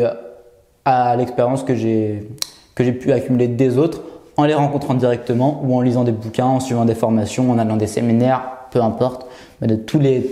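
A man's voice: mid-range voice, dark tone. A young man in his twenties vlogging to camera in a small room, unscripted, with many fillers, stutters and restarts. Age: 20s. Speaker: YouTuber. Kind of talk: unscripted vlog talking to camera. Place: small bare room indoors, seated. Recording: roomy echo.

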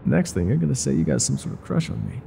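A man playing a world-weary, gruff but secretly tender older ex-mafia bartender in an intimate, confiding audio roleplay. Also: a little high, lively intonation, fast, dark tone, a little hiss or hum. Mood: flustered, self-deprecating. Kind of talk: intimate ASMR roleplay monologue.

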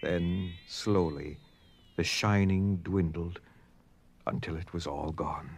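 A man's voice: deep voice, fairly even intonation, slow, slightly rough, full character voice. A man playing the suspenseful narrator of an audio drama, reading scripted storybook narration. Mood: dramatic. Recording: faint music under narration.

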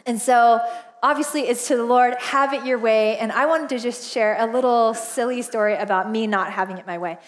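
Woman pastor, 40s, high bright register, animated storyteller with many fillers, headset mic in a church auditorium. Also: moderate pace. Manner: chatty and animated, laughs often, lots of fillers. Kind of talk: casual storytelling sermon. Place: church stage, large indoor auditorium.

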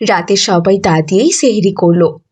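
A woman's voice: medium pitch, moderate pace, darkish tone, breathy edge. A woman narrating a scripted moral story for children.

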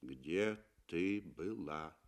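A bitter, cowardly husband acted by a man speaks in a low voice. He is jealous and accusing, with clipped phrases, as in a 1960s radio play. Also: lively intonation, drawn-out words, full character voice.

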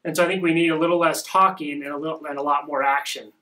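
A young man hosting a casual podcast at home, talking in a rambling, upbeat way with a soft voice, recorded by a camera across a small room. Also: a little high, fairly even intonation, quick pace. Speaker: podcast host. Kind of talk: casual marketing podcast talk.

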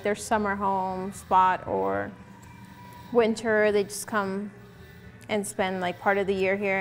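A young woman talking in a tentative, conversational way in a casual documentary interview. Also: mid-range voice. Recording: faint music behind the voice.

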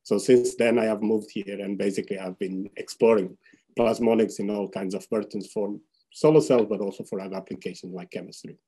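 A man's voice: on the low side, fairly even intonation, fairly quick, dark tone, husky. A man in his thirties with a Portuguese accent, a professor speaking off the cuff with hesitant repeated words. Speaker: professor, seminar guest. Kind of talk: spontaneous account of career. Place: indoors on a video call with virtual background.

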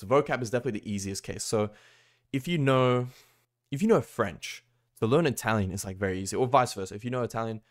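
Young man, lowish voice, rambling bedroom vlog, heavy on fillers. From Australia. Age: young adult. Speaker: language-learning vlogger. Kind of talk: casual talking-head vlog. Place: small bedroom, indoors.

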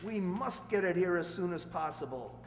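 A man's voice: sits high, animated, normal speed, a little hiss or hum. A man acting the part of an earnest middle-aged doctor in hiding, in an old-time radio drama, reading on stage. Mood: sober. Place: stage in a community hall. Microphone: stand microphone. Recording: echoey hall stage, distant reverberant sound.

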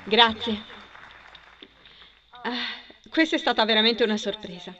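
Emotional, protective female singer played by a woman, forceful bright voice, slightly high, film-dub drama. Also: unhurried, muffled recording, soft background music. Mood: flustered, grateful. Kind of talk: amateur film dubbing.

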